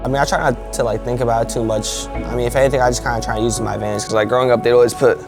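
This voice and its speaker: A man's voice: fairly even intonation, rapid-fire. A young man from Canada, whose first language was Korean, talking casually in a documentary interview with many fillers. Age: young adult. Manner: low-key, many "like" fillers. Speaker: college basketball player. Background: guitar music.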